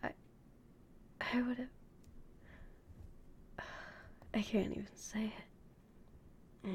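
A young woman whispering slowly and intimately as a doting, possessive girlfriend in an audio roleplay. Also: medium pitch, vocal fry, a little hiss or hum. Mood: indignant. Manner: soft whispering, slow and intimate.

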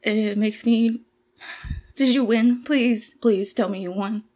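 A woman acting out a frightened young captive woman who stammers and pleads in a halting way. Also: fairly high pitch, steady tone, darkish tone. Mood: anxious, pleading. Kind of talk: amateur audio drama acting.

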